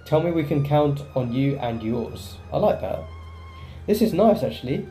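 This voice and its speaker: Male gaming host, fairly high pitch, mellow tone, casual playthrough commentary, reading aloud, light music behind. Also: animated, moderate pace. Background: game music.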